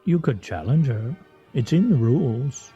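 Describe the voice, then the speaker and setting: A pompous, animated, husky husband. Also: male voice, mid-range voice, a little slow, dull audio, soft background music, exaggerated voice. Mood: sly, mocking. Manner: florid, mock-formal, teasing. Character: pompous, needling, theatrical husband and scrabble player. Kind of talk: radio play acting.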